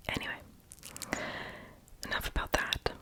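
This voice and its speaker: A young adult whispers throughout in a breathy ASMR voiceover, with no voiced tone at all. The talk is casual and rambling, a little self-deprecating, close on the mic with lip smacks and mouth sounds. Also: measured pace. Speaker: ASMR video creator.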